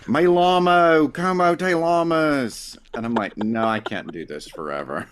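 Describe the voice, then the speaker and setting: A man's voice: on the high side, a little slow, a little hiss or hum. A middle-aged man with an East Tennessee Southern accent, chatting casually as a podcast guest and acting out his own remarks as he tells stories. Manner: animated storyteller who acts out his own quotes. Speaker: marketing professor, podcast guest. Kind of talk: casual conversation.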